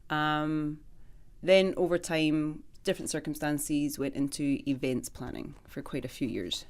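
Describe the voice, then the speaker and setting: A woman's voice: low voice, fairly even intonation, measured pace. A Scottish woman in her thirties, a personal trainer and dance teacher, chatting as a guest in a radio interview and laughing easily. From Scotland.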